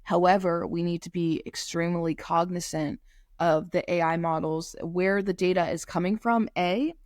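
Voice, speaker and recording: A young woman co-hosting a podcast, talking casually and stopping often to restart her sentences. Her voice sits a little low. The recording is dry and close. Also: steady tone, a little mellow, no room sound. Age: young adult. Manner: halting, many fillers and restarts. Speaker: podcast co-host. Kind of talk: casual conversational discussion.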